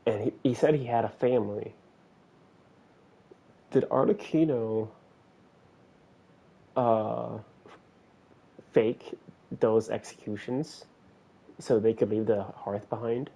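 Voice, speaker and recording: Low-voiced man streaming a game, thinking aloud. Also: steady tone, takes it slowly, vocal fry, pauses often, warm tone, slightly rough, rough recording. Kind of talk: casual play-along commentary, reading game lines aloud.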